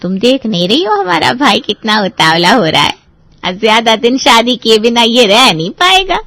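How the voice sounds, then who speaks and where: A woman's voice: on the high side, big pitch swings, normal speed, a bit bright, no room sound, heavily acted. A woman playing a teasing, affectionate elder sister in a family audio drama. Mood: fond, playful. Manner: playful, teasing.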